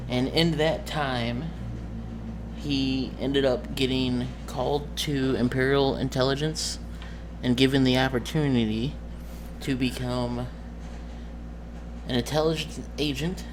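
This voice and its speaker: A man speaks at a middle pitch in a casual, unscripted vlog style as a tabletop game master. He rambles, hesitating and searching for the right word. Clear room noise runs behind him on an amateur recording. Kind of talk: casual off-the-cuff vlog talk.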